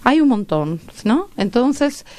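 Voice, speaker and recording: Clear-voiced Argentine woman explaining health matters. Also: fairly high pitch, big pitch swings, slow pace, warm tone, no echo. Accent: Argentine Rioplatense. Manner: didactic, checks in with rhetorical tags. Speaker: guest doctor and medical researcher. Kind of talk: radio interview, explaining health advice conversationally.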